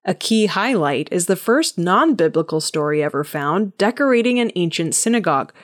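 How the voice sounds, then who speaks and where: Woman reading news, mid-pitched voice. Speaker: news reader. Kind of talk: scripted news narration.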